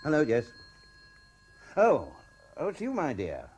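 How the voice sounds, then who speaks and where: A man's voice: fairly high pitch, huge pitch swings, measured pace, darkish tone, husky, heavily acted. A man playing a self-important, anxious businessman husband in a radio play, with a pompous manner. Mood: flustered, irritable. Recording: 1970s radio drama recording.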